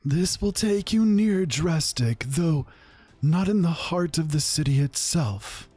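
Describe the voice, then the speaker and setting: A grave old wizard speaks in a soft, unhurried hush, as a man puts him on, his dark, mellow voice carrying a husky edge. He sounds solemn and deliberate, like a wise figure in a spoken fantasy tale. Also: a little high, lively intonation, dry recording, pitched above the performer's own voice, full character voice. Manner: slow, hushed and grave.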